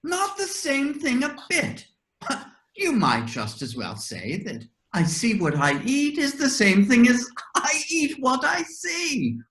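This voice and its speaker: Eccentric riddling madman, wildly swooping high voice, slow drawn-out delivery, blunt, roomy echo. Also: huge pitch swings, a bit bright, full character voice. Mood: mocking. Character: eccentric Mad Hatter, blunt, nonsensical riddler. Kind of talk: readers theater acting.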